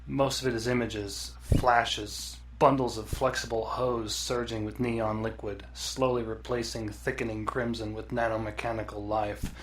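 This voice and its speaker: A man reading scripted horror fiction as a narrator, in a deliberate, dramatic storytelling manner. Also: fairly low pitch. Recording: distant mic with faint noise.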